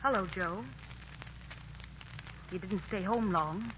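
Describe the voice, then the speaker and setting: A woman's voice: mid-range voice, takes it slowly, background noise, lightly acted. An actress playing a proud, defiant young woman in a 1940s radio musical, speaking bluntly and singing in an operatic soprano. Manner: blunt, colloquial, unafraid. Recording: muffled 1940s radio broadcast. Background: orchestra music.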